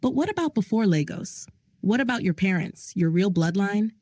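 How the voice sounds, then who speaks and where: A woman voicing a male crime boss who speaks calmly and with measured command. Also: medium pitch, no echo, clean studio sound, close to an everyday voice. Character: controlled male mafia boss, calm and steady. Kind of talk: audio drama reading.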